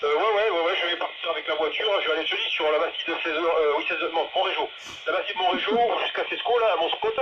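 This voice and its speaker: A man's voice: fairly high pitch, quick pace. A man in a casual, slangy chat as an amateur radio operator, speaking through a two-way radio. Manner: informal, slangy. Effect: two-way radio transmission. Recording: noisy, muffled two-way radio audio.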